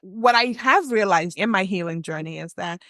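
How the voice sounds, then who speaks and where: A woman's voice: medium pitch, normal speed, a bit bright, no room sound, clean studio sound. A young adult woman from West Africa, co-hosting a reflective podcast conversation and building her points in long, earnest runs. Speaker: podcast co-host.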